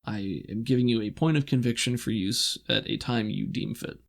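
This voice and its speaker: Gravelly man narrating as game master. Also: fairly low pitch, normal speed. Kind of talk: narrating a tabletop roleplaying game. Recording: voice over background music.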